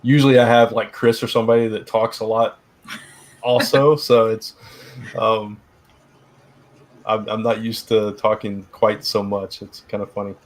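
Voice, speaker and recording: A middle-aged man co-hosting a casual live stream, rambling with lots of fillers and restarts, his voice turning hoarse. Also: fairly low pitch, flat delivery, a little slow, long pauses. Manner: rambling, many fillers and restarts, laughs often. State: getting hoarse. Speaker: live stream co-host, publisher.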